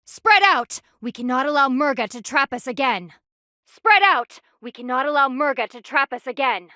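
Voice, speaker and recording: A proud, dutiful female panda warrior for a video game. Her voice sits fairly high and is recorded dry and close, with no room around it. She speaks in formal, clipped phrases with a haughty, sardonic edge and a stern temper. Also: lively intonation, normal speed, bright, forceful tone, no room sound. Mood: commanding, fierce. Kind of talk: video game character voice lines.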